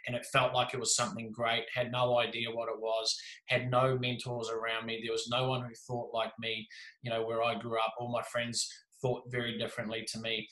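A man in his thirties with a New Zealand accent, telling his story as a podcast guest on a video call, animated and jokey. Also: mid-range voice, fairly quick. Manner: animated, gestures a lot, jokey. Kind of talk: casual storytelling. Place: small room indoors. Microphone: video call with desk mic. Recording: echoey room.

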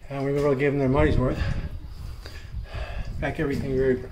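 A man's voice: medium pitch, flat delivery. Man narrating a junk cleanout. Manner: brisk, repetitive job-site narration. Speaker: junk removal business owner. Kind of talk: on-the-job video updates. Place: outdoors and in a basement at a house cleanout. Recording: noisy job-site phone recording.

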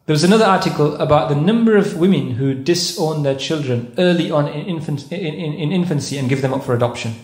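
Guy preaching to a crowd in a mosque, kinda high voice, asks the room questions, lots of echo. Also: fast, hardly any pauses, a little mellow. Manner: rhetorical questions to the audience, recites Arabic verses. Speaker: visiting speaker at a mosque conference. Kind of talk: sermon-style lecture. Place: carpeted mosque prayer hall, indoors. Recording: echoey mosque hall.

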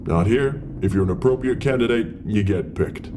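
A man's voice: on the low side, animated, moderate pace, noisy background, close to an everyday voice. A man acting a cold, detached company interviewer in a horror drama, speaking in a formal, clipped way. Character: cold, businesslike male company interviewer with sinister intent.

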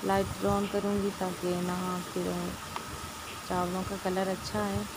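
A woman walks through a home cooking recipe step by step, often checking with a quick "theek hai". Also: medium pitch, level tone. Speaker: cooking channel host. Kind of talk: casual cooking demonstration. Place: home kitchen, over a stove. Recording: loud kitchen noise behind, sizzling frying.

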